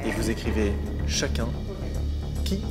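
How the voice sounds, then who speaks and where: A man's voice: fairly low pitch, very animated, measured pace, music in the background. A man hosting a dating show, announcing rounds and steering the contestants. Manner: announcing, directing the game. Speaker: show host.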